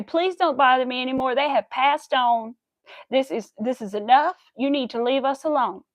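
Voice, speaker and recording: American woman, early 30s, chatty crafting streamer. Slightly high voice with fry, close dry sound, rambling and informal. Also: no echo. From United States. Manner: chatty, rambling, counts stitches aloud. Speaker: craft livestreamer. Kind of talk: casual livestream chat while crocheting.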